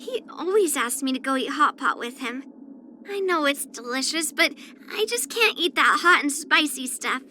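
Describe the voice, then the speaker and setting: Bright, high-voiced girlish young swordswoman. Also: expressive, moderate pace, a little hiss or hum. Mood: matter-of-fact, confident. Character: spirited young swordswoman, blunt, proud, girlish. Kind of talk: character voice lines for an anime-style video game.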